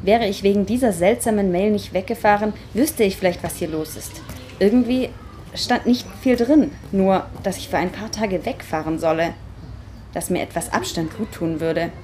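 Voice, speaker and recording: Casting: actress as puzzled young woman, youthful and insistent, amateur audio drama, mid pitch, faint noise. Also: normal speed, warm tone, natural voice. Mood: brooding. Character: confused, insistent young woman back in a changed hometown. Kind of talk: amateur radio play acting.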